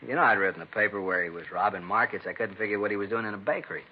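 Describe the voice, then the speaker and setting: An actor playing a plain-spoken bakery owner in a 1950s radio drama, casual and matter-of-fact about being robbed. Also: male voice, on the low side, rapid-fire, natural voice. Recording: dull, noisy old radio recording.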